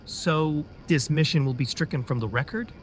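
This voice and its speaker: A worried young captain who stammers out hesitant objections to his superior , as a man plays him. His voice sits slightly high, and faint noise lies beneath it in this sci-fi audio drama. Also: normal speed, close to an everyday voice. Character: doubtful young male captain.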